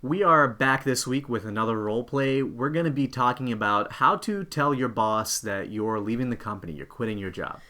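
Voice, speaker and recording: A man's voice: mid-range voice, moderate pace, few pauses, sharp tone. A man co-hosting an instructional video, explaining things to viewers as a career coach. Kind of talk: instructional presenting to camera.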